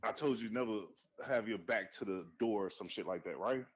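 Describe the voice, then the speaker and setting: Excitable, swearing man, lo-fi sound. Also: a little fast, slightly rough. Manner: animated, profane, swings to high excited outbursts. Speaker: podcast co-host. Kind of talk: casual movie discussion. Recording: dull lo-fi sound.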